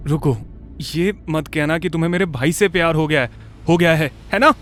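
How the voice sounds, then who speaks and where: A man voicing moody, defensive young men from a wealthy family in an animated story, with curt, dramatic delivery. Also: a little high, normal speed, natural voice. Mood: jealous, accusing. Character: moody young men, rival rich brothers, sardonic and defensive.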